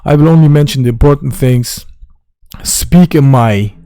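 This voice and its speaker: A man's voice: medium pitch, normal speed, mellow, dry recording, studio quality. Blunt non-native man walking through charts. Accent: non-native English, simple phrasing. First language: German or Turkish. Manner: informal, blunt, talks straight to viewers with frequent "guys" and fillers. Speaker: crypto trading educator. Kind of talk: casual screen-share chart lesson.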